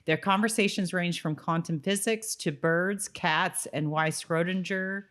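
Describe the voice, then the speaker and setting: A woman's voice: lowish voice, fairly even intonation, unhurried, a bit bright. A woman co-hosting a podcast, chatting casually and jokingly with frequent giggles. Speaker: podcast co-host. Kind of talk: casual chat about a TV episode, some reading from notes.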